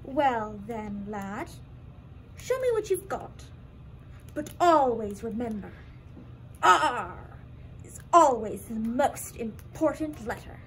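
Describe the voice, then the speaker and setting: A woman's voice: a little high, sing-song, slow, pauses often, a bit bright, a little hiss or hum, exaggerated voice, poor audio. A teenage girl playing a jaunty, encouraging pirate mentor in a school puppet show. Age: young teenager.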